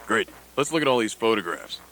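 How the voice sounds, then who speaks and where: A man's voice: on the low side, expressive, fairly quick, a bit bright, slight background noise, poor audio. A man playing a dim, agreeable surfer type of agent sidekick in a satirical radio play.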